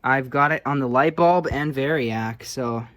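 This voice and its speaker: A man's voice: mid-range voice, measured pace, a bit bright. A man giving casual, unscripted commentary on his own hands-on repair, offhand and thinking aloud as he works. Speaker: hobbyist restorer presenting his own repair video.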